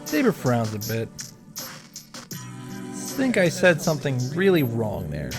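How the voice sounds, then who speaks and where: A man's voice: medium pitch, huge pitch swings, measured pace. Wry man recapping over background music. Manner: wry, joking, sweary. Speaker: video creator recapping and reacting to a game. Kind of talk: casual scripted commentary with sarcastic asides. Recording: music behind the voice.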